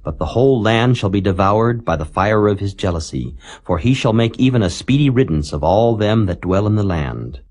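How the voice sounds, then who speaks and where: A man with a very low voice narrates scripture solemnly. His delivery is measured and declamatory, with pitch that rises and falls widely from phrase to phrase. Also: animated, a little mellow. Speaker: Bible narrator.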